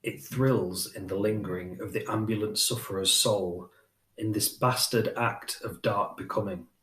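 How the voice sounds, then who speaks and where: A man's voice: fairly low pitch, fairly even intonation, unhurried, fairly bright tone, roomy sound. A man giving a dramatic reading of poetry, with a short spoken introduction. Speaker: poetry reader.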